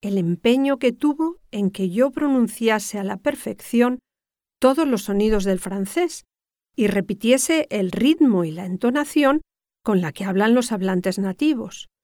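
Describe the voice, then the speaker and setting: An older woman from Spain with a Castilian accent delivers scripted educational narration in a measured, didactic way, articulating carefully. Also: on the high side, lively intonation, a little mellow, no echo, clean studio sound. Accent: Castilian Spanish. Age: 60s or older.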